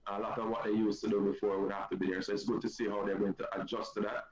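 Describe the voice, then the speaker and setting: A man with a Jamaican accent, a football coach and guest, talking in a measured, hesitant way with many fillers. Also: lowish voice, flat delivery. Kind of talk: casual chat on a sports show. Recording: muffled echoey line, loud crowd behind.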